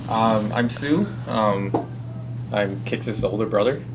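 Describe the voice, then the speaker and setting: A man in his thirties, a guest on a casual podcast, answering quietly and hesitantly with lots of "um". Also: fairly low pitch, slow pace, noisy background. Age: 30s.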